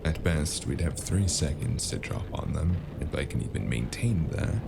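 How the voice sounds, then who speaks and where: A man playing a weary, wry noir detective in an audio drama, speaking tersely with a gravelly voice. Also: rumbling low, lively intonation, normal speed, very noisy, heavily acted. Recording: music under the voice.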